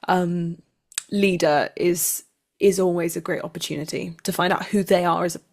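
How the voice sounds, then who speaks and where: A woman with a slightly low voice speaks as an actor being interviewed. Her delivery is conversational and unscripted, as a guest sharing her thoughts. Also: steady tone, normal speed, gravelly, darkish tone.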